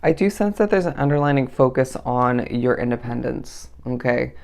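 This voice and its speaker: Tarot reader with a raspy, gravelly, tired voice. Casual, musing delivery, keeps checking in with the listener. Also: medium pitch, expressive, moderate pace, darkish tone. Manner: meandering, musing, often asks the listener "okay?". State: tired, up at three in the morning. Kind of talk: daily tarot reading to camera.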